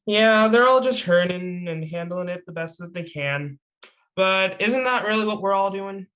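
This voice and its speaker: A man's voice: sits high, moderate pace, a bit bright. A man playing a chatty, teasing young superhero in an amateur table read, with casual delivery. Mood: friendly, reflective.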